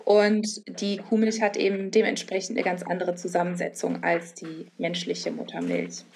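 A woman's voice: fairly low pitch, moderate pace, darkish tone, a little hiss or hum. A woman speaking as an interviewed nutrition expert, explaining informally and often adding tag questions. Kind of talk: spontaneous explanatory interview talk.

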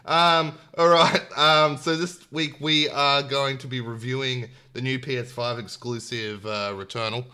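A man's voice: medium pitch, steady tone, a little slow, few pauses, sharp tone, smooth. A man with an Australian accent hosting a gaming podcast in a casual, informal, jokey way. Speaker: podcast host. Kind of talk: casual gaming podcast chat and news rundown.

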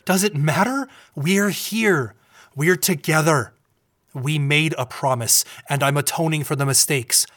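Defensive, stubborn husband. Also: male voice, fairly high pitch, measured pace, a bit bright. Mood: insistent. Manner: insistent, self-justifying. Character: defensive, stubborn husband pleading his case. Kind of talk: audio drama acting.

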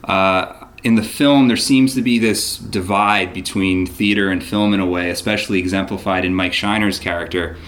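A man with a fairly low voice hosts an interview, asking long and earnest questions. He winds his way through them with small fillers and fresh starts as he goes. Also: steady tone, moderate pace, fairly bright tone, slight background noise. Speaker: interviewer host. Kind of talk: interview conversation.

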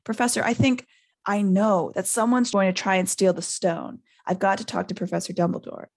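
A man's voice: sits high, little pitch movement, moderate pace, gravelly. A woman voicing an earnest schoolboy hero with an urgent, insistent manner in a storybook reading.

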